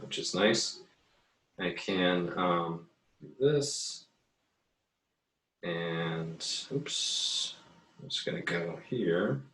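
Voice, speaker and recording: A man giving a casual, unscripted tutorial as an art teacher, haltingly thinking aloud as he works. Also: low voice, a bit bright. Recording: echoey, roomy sound.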